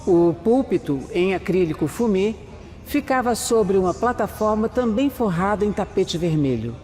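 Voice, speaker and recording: A man's voice: high-pitched, expressive, moderate pace, darkish tone. A man with a Brazilian accent playing a methodical detective who narrates an audio drama. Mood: measured. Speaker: narrator and protagonist. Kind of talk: scripted first-person narration in an audio drama. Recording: long reverb, music underneath.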